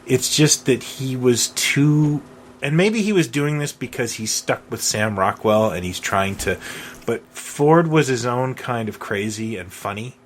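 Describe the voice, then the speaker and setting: A man's voice: mid-range voice, expressive, unhurried. A middle-aged man co-hosting a podcast in a casual, conversational way. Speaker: podcast co-host. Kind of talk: casual chat. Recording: faint background noise.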